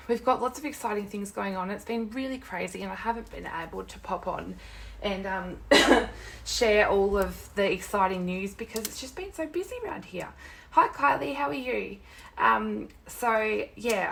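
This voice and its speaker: Croaky woman hosting a craft live. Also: mid-range voice, a bit bright, a little hiss or hum. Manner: chatty and rambling, greets viewers by name, apologetic. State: croaky, sick with a sore throat. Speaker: craft demonstrator and live-stream host. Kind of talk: casual live craft tutorial chat. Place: at home at her craft desk.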